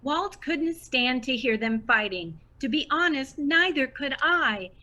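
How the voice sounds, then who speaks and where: A woman's voice: high-pitched, lively intonation, measured pace, bright, forceful tone, heavily acted. A woman playing a wry, articulate narrator, reading scripted narration for an audio comedy drama. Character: wry female radio narrator commenting on her characters.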